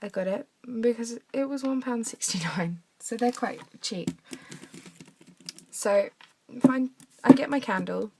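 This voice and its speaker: A young woman with a croaky voice from a cough, chatting through a henna craft demonstration at her desk at home. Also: a little high, a little slow, noisy background. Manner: chatty, talks while drawing, drops to soft mutters. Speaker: craft tutorial maker.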